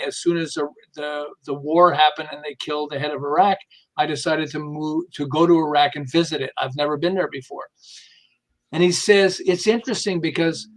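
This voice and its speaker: A man with a high-pitched voice speaks as an expert guest in a podcast conversation. He explains through anecdotes in an animated but halting way, breaking off and picking up his sentences again. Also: low-quality recording. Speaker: podcast guest, behavioral management specialist.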